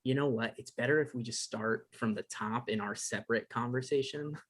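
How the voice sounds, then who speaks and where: Male actor as defensive ex-boyfriend, mid-pitched voice, hesitant stammer, evasive, conversational table read. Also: fairly quick, lightly acted. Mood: flustered, apologetic. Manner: stammering, hedging. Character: evasive, awkward, defensive man meeting his exes. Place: online live stream table read.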